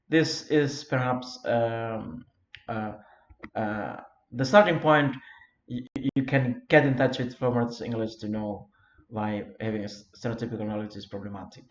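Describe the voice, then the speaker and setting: Halting Indonesian-accented man lecturing. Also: mid-range voice. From Flores, eastern Indonesia. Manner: halting, many fillers and repeated words. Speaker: founder of an English learning community. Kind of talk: informal self-made video lecture. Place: Europe. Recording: roomy, dull lo-fi recording.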